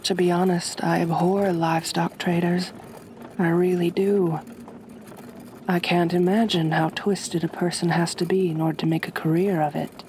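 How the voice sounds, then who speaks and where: A woman playing a kind but possessive, aristocratic vampire mistress in a soft-spoken ASMR roleplay, gentle and genteel, often close to a whisper. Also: fairly low pitch, little pitch movement, moderate pace, slight background noise, lightly acted. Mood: soothing, possessive. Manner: gentle, soothing, genteel, formal phrasing.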